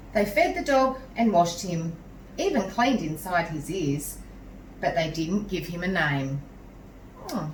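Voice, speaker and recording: A woman reading a picture book aloud to young children in a measured, animated way, pausing to chat to them. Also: fairly low pitch. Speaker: teacher reading a story to children. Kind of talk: expressive picture-book read-aloud with asides to young listeners. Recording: roomy echo, distant mic, some noise.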